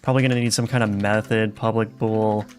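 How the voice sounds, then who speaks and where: A man's voice: on the low side, level tone, brisk. A man streaming live as a game developer, talking casually and thinking aloud with many fillers and restarts while he codes. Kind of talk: live coding stream, reading chat. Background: soft lofi music.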